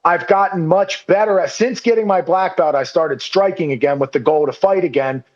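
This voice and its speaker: A man's voice: high voice, moderate pace, dull audio. A man in casual podcast chat, rambling and self-deprecating, swearing freely and saying "dude" and "like" constantly. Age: under 40. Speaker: podcast guest.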